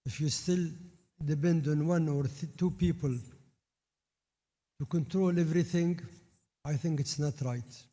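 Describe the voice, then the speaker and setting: A man chairing a formal meeting speaks slowly in a soft, mellow tone that sits a little high. He stops and restarts words as he goes. His voice rings with long reverb in a large hall. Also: fairly even intonation. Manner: halting, restarts words, plain phrasing. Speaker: congress chair.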